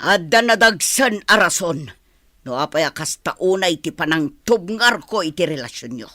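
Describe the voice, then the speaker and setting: A woman plays a domineering, middle-aged matriarch in a radio drama. Her voice is bright and forceful, recorded dry, with a stern, scolding manner. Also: fairly low pitch, animated, moderate pace, no echo. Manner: imperious, scolding. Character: stern, domineering wealthy mother, quick to anger. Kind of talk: Ilocano radio drama acting.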